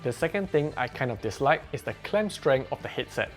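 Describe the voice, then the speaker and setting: A man reviewing a headset in a plain, measured, explanatory way, as a scripted voice-over. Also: mid-range voice, very animated, faint music behind. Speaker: tech reviewer. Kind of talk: scripted product review narration.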